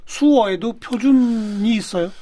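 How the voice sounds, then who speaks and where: A man's voice: sits high, unhurried, warm tone. A man hosting a radio interview in a studio, asking curious questions and dropping in short replies. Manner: brief backchannel replies, asks curious questions. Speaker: radio host.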